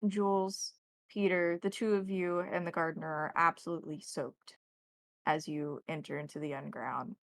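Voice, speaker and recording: Woman running a tabletop rpg, lowish voice with some fry, describes stuff carefully, dry close sound. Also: fairly even intonation, normal speed, no echo. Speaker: game master. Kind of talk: narrating a tabletop roleplaying game.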